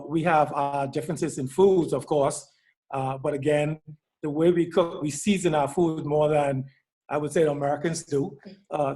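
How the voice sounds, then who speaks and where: Higher-voiced Bahamian man in his sixties. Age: 60s. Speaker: panelist. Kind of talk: casual panel talk.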